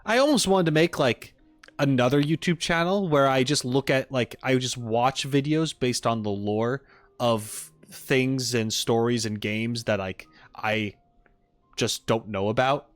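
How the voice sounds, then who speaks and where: A man's voice: mid-range voice, unhurried, no room sound. A man giving casual, joking reaction commentary as a streamer, informal and playfully indignant.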